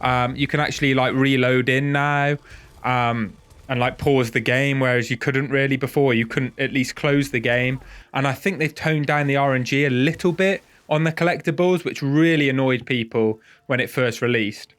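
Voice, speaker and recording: A man's voice: mid-range voice, steady tone, normal speed, fairly bright tone, smooth. A man with a head cold and a nasal voice, chatting casually and self-deprecatingly as host of a gaming video.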